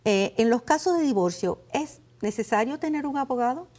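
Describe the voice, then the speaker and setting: A woman's voice: high-pitched, animated, a little mellow. Formal woman hosting a legal program. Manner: formal, measured delivery. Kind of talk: scripted TV hosting and interviewing.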